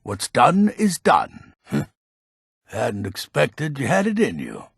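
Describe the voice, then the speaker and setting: A gruff old fantasy game villager, selling potions like a true curmudgeon. His voice is heavily gravelled and very husky. He takes his time over the words, at an unhurried pace. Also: mid-range voice, wildly swooping pitch, dry recording, clean studio sound, exaggerated voice. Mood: gruff, reluctant. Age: older. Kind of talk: video game character lines.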